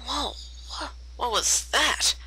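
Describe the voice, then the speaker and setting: A woman voicing a brash, excitable young female cartoon pegasus pony who blurts out exclamations. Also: sits high, slow, slightly rough, voice pushed up, heavily acted. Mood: excited, hungry.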